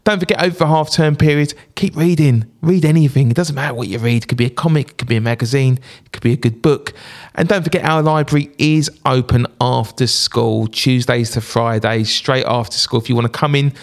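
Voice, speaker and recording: Guy doing school podcast notices, friendly and jokey, normal pitch. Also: fairly quick. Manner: chatty and upbeat, jokey asides. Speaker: school staff member hosting a school podcast. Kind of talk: casual announcements to parents.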